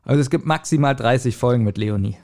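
Man around forty, medium-pitched, chatting casually. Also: quick pace, darkish tone. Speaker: podcast co-host. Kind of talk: casual chat.

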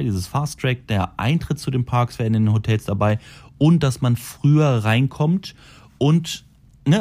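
Podcast host, male, low warm voice, loose rambling solo chat with many self-corrections. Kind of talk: casual solo podcast talk. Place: holiday rental in Florida.

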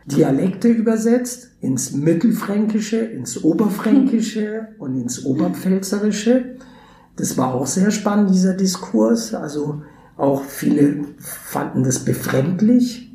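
Man, warm voice, roomy sound. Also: mid-range voice. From Brazil. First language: Portuguese. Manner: thoughtful, hesitant with frequent fillers and restarts. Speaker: podcast guest, theatre educator. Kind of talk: conversational interview. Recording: roomy echo, faint background hiss.

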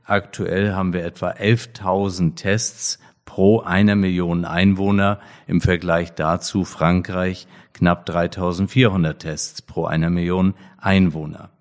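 A middle-aged man hosting a podcast, reading a formal introduction and then interviewing a guest in a measured way. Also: low-pitched, little pitch movement. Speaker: podcast host, hospital director.

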